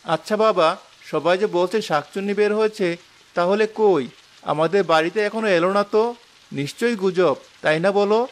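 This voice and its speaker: A man's voice: high-pitched, brisk, lightly acted. A man voicing a young, food-loving village boy in a cartoon, childlike and wheedling. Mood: curious. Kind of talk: cartoon voice acting.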